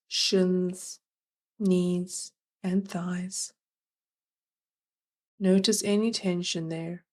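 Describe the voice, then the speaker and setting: Slow-paced woman guiding a meditation. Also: lowish voice. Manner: gentle, soothing, unhurried with long pauses. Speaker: meditation guide. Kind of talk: guided meditation narration.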